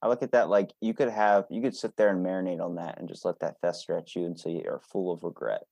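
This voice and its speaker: Man, deeper pitch, dry close sound, casual podcast host, blunt anecdotal delivery. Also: steady tone, fast, creaky, a little mellow, no room sound. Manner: blunt, informal, profane, anecdotal.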